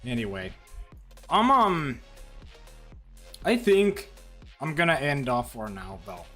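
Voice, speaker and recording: Chatty streamer guy, lively rambling voice. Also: medium pitch, slow pace, faint music behind. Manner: rambling, chatty, lots of fillers and restarts. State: throat getting dry. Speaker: live streamer. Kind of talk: casual livestream chat while gaming.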